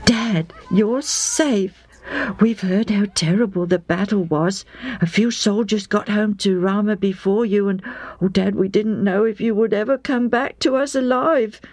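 A woman's voice: medium pitch, a little slow, a little mellow, no room sound, pitched above the performer's own voice, natural voice. A woman playing an anxious young boy. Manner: anxious, pleading questions.

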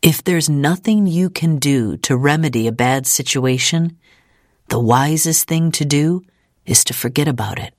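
A woman voicing a calm, resigned middle-aged father, measured and soothing, in an audiobook. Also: low voice, dry recording, clean studio sound. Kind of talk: audiobook character acting.

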